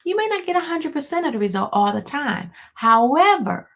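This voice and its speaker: A hoarse woman, a life coach, giving an encouraging motivational talk to her viewers, repeating key lines for emphasis and calling them y'all. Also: sits high, sing-song, brisk, fairly bright tone. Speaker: life coach hosting a weekly motivational show. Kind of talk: motivational pep talk to camera.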